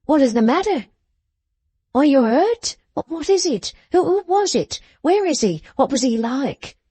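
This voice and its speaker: A woman's voice: high-pitched, very animated, unhurried, mellow, voice pushed up. A woman voicing an anxious, tender young man asking urgent, concerned questions in an audiobook. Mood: alarmed. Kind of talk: dramatised audiobook reading.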